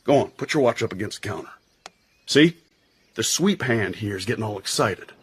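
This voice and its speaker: An actor playing a jaunty, irreverent American intelligence agent in a radio drama, husky and slangy, with an American accent. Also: male voice, on the low side, lively intonation, moderate pace, no room sound. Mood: brisk, wisecracking. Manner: slangy, wisecracking.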